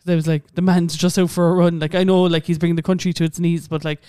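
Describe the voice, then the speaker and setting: A chatty Irish woman in her late twenties, talking casually on a podcast and filling her sentences with "like" and the odd swear word. Her voice is smooth and clear, recorded dry and close with no room sound. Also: lowish voice, flat delivery, quick pace, dark tone, dry recording. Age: late 20s. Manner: chatty, swearing, says "like" a lot. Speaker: podcast co-host. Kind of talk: casual chat.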